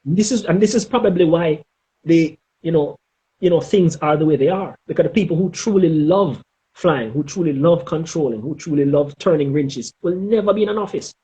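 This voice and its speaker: Higher-pitched Jamaican man, emphatic and deliberate. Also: mellow, rough recording. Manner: emphatic and deliberate, with frequent "okay?" check-ins. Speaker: interview guest, former air traffic controller. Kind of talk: interview talk giving advice.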